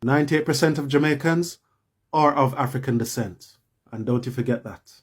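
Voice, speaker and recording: A man in a played clip giving a forceful, scolding lecture. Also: mid-range voice, normal speed, breathy edge.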